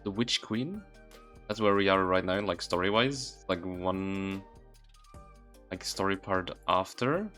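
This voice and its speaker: Low-voiced man chatting casually on a livestream. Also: slow, a bit bright. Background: background music.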